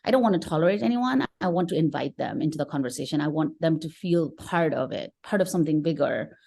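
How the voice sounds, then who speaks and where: Low-voiced Nepali-born woman guest. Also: lively intonation, fast talker, vocal fry, warm tone. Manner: earnest, thoughtful, with frequent restarts. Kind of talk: conversational interview.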